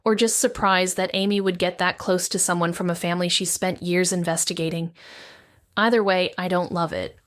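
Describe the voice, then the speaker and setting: A woman's voice: on the low side, fairly even intonation, brisk, dry recording, studio quality, lightly acted. An actress playing a cautious, wry young amateur detective who narrates her own story in first person in a noir audio drama. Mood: reflective. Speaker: narrator and lead character.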